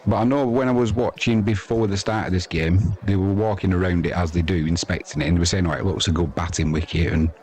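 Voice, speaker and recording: A man's voice: low-pitched, steady tone, fast, hardly any pauses, a little mellow. A man with an English accent giving casual, rambling, chatty live cricket commentary as the host of a sports stream.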